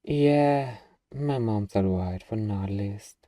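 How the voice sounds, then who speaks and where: Slow, very dark-voiced man acting a young survivor. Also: lowish voice, dry recording, studio quality, recorded from a distance. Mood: anxious, hesitant. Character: gruff, defensive young male zombie-apocalypse survivor, anxious underneath. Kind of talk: ASMR roleplay acting.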